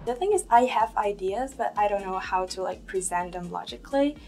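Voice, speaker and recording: A young woman from southern China, a native Cantonese speaker, talking in a documentary interview as a first-year student, animated and tentative with fillers. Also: medium pitch, brisk, vocal fry, faint music behind. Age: young adult.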